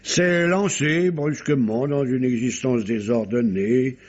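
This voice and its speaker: A husky-voiced, courteous male magistrate of the nineteenth century. He speaks formally and with dramatic emphasis, fair-minded in temper, at a middling pitch. It sounds like a muffled old radio play. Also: takes it slowly, a little mellow. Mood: grave, earnest. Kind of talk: classic French radio drama acting. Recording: dull, lo-fi old radio recording.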